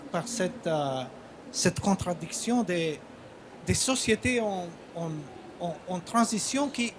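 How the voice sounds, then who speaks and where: Native Albanian man, writer on a panel: high voice, slow and halting speech. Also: slight background noise. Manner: hesitant, halting, many repeated words. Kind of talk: conference panel talk.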